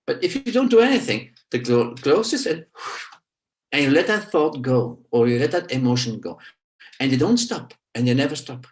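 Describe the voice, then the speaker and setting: A man in his sixties from Belgium, speaking English as an in reflective spoken teaching. He searches for words and his voice jumps up on key points. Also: normal speed. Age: 60s. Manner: animated, pitch leaps on emphasis, searching for words. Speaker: guest, nonduality teacher.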